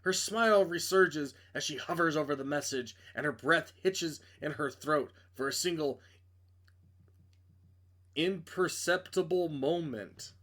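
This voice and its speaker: A man's voice: a little high, measured pace, a bit bright. A man narrating a video game playthrough, reading story text aloud dramatically and dropping in casual, blunt commentary. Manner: reads narration dramatically, stumbles and breaks off for blunt asides. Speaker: game streamer doing a playthrough.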